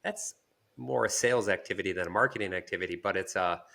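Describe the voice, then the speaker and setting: Male podcast co-host, deep but bright, forceful voice, explanatory delivery with halting repetitions. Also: gravelly. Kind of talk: casual conversational discussion.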